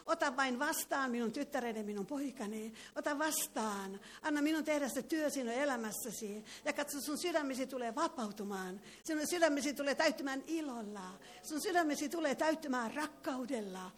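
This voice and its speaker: A woman's voice: sits high, lively intonation. Fervent woman praying at a meeting. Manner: fervent, prays with tongues interjected. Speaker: preacher. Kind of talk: charismatic prayer and prophecy. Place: meeting hall.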